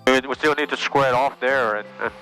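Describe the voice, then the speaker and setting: Male pilot, bright tone, quick checklist callouts on a headset, faint music under. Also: medium pitch, rough recording. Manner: brief confirmations, thinking aloud. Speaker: pilot in training, channel host. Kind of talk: reading checklist items aloud. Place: small plane cockpit in flight.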